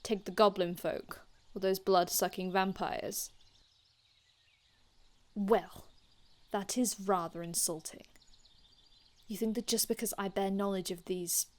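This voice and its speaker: An aloof old-world male vampire healer, played by a woman, speaks slowly in an ASMR roleplay. The delivery is hushed and haughty, with formal, archaic wording and every phrase carefully measured. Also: mid-range voice, steady tone, natural voice. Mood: offended. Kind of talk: ASMR roleplay, scripted in-character monologue.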